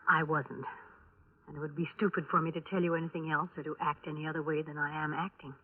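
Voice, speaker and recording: A woman's voice: low-pitched, little pitch movement, quick pace. An American woman playing a bitter, bluntly honest young widow in a 1950s radio detective drama, controlled and dramatic in delivery. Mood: guarded, blunt. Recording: tinny vintage 1950s radio broadcast.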